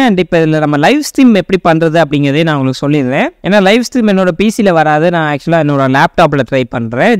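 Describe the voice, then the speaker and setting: A young man with a Tamil accent narrating a software tutorial, addressing viewers directly and mixing in English terms. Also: fairly high pitch, clear, resonant tone, no echo, studio quality. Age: young adult. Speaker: tech tutorial presenter.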